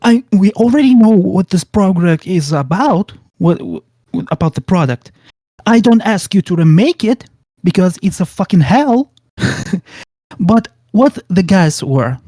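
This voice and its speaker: A man's voice: high voice, very animated, measured pace, warm tone, dry recording. A man from Russia with a Russian accent, English not his first language, nervously asking a question. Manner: nervous, apologetic.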